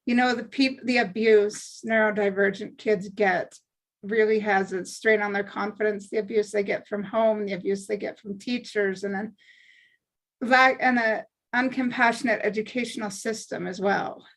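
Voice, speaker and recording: Woman writer, earnest and heartfelt, mid pitch, video call. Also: steady tone, moderate pace, rough recording. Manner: earnest, heartfelt, speaks in long explanations. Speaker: writer and table read cast member. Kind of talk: casual group discussion.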